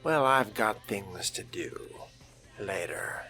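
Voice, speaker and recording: Man playing a low, slow, brooding vampire scholar. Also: animated, pushed and bright, soft background music, heavily acted. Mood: dry curiosity. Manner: measured, musing, formal. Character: brooding, scholarly male vampire fledgling, dryly curious. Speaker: player narrating in character. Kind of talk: in-character video game roleplay narration.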